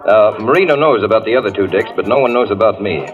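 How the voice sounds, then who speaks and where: An actor playing a confident, flirtatious tough police detective in a 1940s radio thriller, self-assured and slangy. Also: male voice, lowish voice, expressive, quick pace, close to an everyday voice. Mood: teasing. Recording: muffled old radio recording, music behind.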